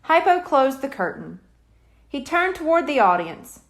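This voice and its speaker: A woman narrating a children's storybook aloud as an expressive scripted reading. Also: sits high, a little slow. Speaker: audiobook reader.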